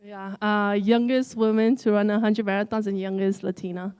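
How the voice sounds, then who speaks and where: A woman's voice: mid-range voice, level tone, moderate pace, background noise. A woman runner, a guest in a live interview, telling her own story in a casual way. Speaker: guest, ultrarunner. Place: live event stage.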